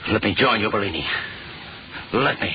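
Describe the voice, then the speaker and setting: A man's voice: on the low side, lively intonation, normal speed. An actor playing a fervent, vengeful henchman hungry for power, in intense old-time radio style. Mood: pleading, fervent. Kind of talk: 1940s radio acting. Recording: muffled, noisy old radio broadcast.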